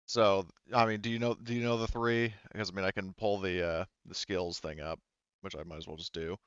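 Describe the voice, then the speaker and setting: A man's voice: low voice, fairly even intonation, brisk, fairly bright tone, clear, resonant tone, no echo, highs cut off. A man running a tabletop roleplaying game as game master, talking casually and hesitantly as he thinks aloud.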